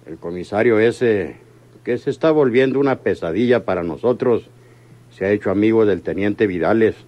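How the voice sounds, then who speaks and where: An actor playing a cold, commanding crime boss in a Mexican radio serial, deliberate and authoritative. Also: male voice, lowish voice, dull audio, close to an everyday voice. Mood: grave, scheming.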